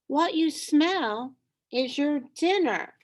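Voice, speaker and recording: A woman's voice: high-pitched, animated, slow pace, slight background noise, voice pushed up. A woman voicing a kind, anxious giant's wife in a storybook, urgent and emphatic. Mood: reassuring.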